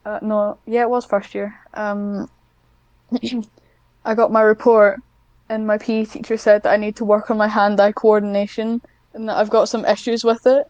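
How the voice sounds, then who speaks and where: A woman talks casually. Her voice is clean and smooth, recorded very dry. She wanders off track and pokes fun at herself. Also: medium pitch, little pitch movement, moderate pace, darkish tone, no room sound, highs cut off. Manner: rambling, self-deprecating, easily sidetracked. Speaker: podcast guest, ASMR script writer. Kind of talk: casual chat.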